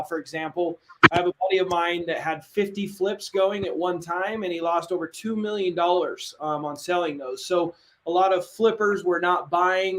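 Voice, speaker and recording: Fired-up man coaching. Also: sits high. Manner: energetic, salesy, casual slang. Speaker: real estate coach. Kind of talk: live webinar training.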